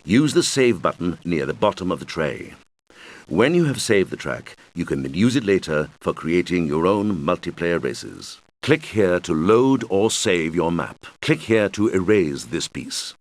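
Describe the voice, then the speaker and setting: A low-voiced male game guide, with very lively, swooping intonation. He explains things helpfully and simply, as if to children, in scripted tutorial style. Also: full character voice. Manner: instructional, clearly addressed to children.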